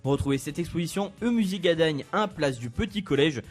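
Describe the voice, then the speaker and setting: Husky young man reading a radio column. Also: fairly high pitch, expressive, faint music behind. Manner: brisk, informative, laughs easily. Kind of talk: reading a culture events column.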